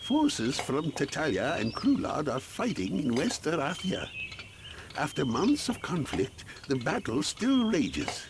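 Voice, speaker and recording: Fantasy game ambassador: solemn, formal, hugely theatrical intonation, noisy backdrop. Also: male voice, on the high side, huge pitch swings, measured pace, heavily acted. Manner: formal, dramatic. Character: solemn male ambassador of a wizard kingdom. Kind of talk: scripted video game cutscene dialogue.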